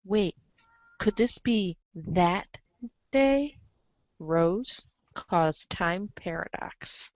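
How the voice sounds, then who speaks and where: A woman narrating a comic story aloud in an expressive read-aloud style, on a slightly dull recording. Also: fairly low pitch, extremely slow, dry recording. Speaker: narrator of a group webcomic reading.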